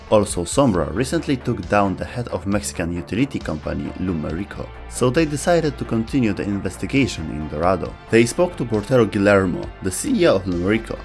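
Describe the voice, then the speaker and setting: A man with a fairly low voice narrates a scripted explainer. His intonation moves around in a lively way, and music plays faintly behind him. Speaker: narrator of a game lore explainer video.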